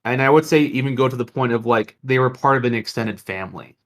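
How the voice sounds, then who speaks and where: A man co-hosting a podcast, talking casually in an earnest, halting, reflective way. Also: mid-range voice. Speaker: podcast co-host.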